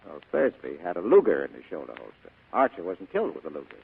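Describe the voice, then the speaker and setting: Cynical tough private eye, low husky voice, swings around a lot, old tinny radio. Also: male voice, wildly swooping pitch, quick pace, heavily acted. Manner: sardonic, clipped, slangy. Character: wry, tough, cynical male private detective. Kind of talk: 1940s radio acting.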